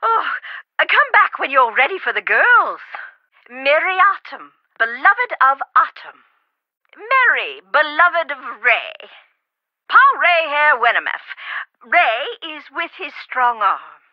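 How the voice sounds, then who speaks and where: A chatty, whimsical older woman who rambles about food and puzzles. Her voice sits high and swoops around a lot. It comes through a dull, phone-like line with no room sound. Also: very animated, takes it slowly, dry recording, full character voice. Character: fussy food-loving older woman helper. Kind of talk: game voice acting.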